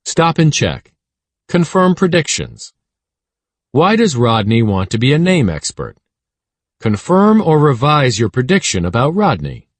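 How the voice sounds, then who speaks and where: A man reading a children's story aloud in an expressive, teacherly way. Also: medium pitch, unhurried, no echo. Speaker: audiobook narrator for children. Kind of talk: scripted read-aloud storytelling.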